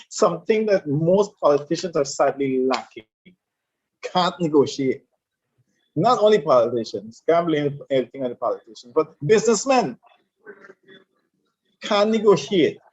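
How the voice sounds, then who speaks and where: A man's voice: on the high side, expressive, a little slow, darkish tone, husky. A man with a Caribbean English accent who talks in an emphatic, repetitive, lecturing way in a conversational discussion. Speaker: guest speaker on leadership. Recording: dull lo-fi sound.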